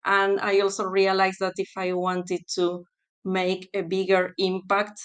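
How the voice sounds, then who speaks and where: Smooth-voiced woman. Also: medium pitch, monotone. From Argentina. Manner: thoughtful, with fillers and pauses. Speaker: guest, textile specialist. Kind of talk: podcast interview.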